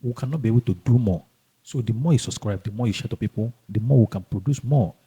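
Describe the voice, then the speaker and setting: A man hosting a podcast in casual, halting and emphatic speech, often repeating himself. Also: lowish voice, dark tone, slightly rough. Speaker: podcast host. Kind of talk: casual podcast introduction and interview chat.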